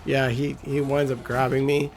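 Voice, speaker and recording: A man with a scratchy, gravelly voice giving laid-back, rambling commentary as a gameplay guide. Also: medium pitch, flat delivery, moderate pace. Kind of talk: casual walkthrough commentary.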